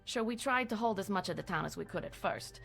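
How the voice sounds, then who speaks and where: A woman's voice: mid-range voice, lively intonation, fast talker, vocal fry, a bit bright, faint music behind. A woman voicing a world-weary small-town American sheriff in a video game, with a folksy, dry, matter-of-fact delivery. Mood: grim, rueful. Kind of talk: dramatic cutscene monologue.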